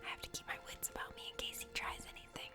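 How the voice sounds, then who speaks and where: A woman's voice: racing, music in the background. A woman reading a dating game aloud as whispered first-person ASMR narration.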